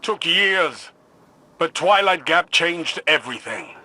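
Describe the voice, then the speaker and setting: A proud warlord who runs a combat arena in a video game. His voice is raised high and cuts sharply, every phrase emphatic and grandiose. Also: expressive, slow, sharp tone, exaggerated voice. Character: booming, proud male warlord arena master.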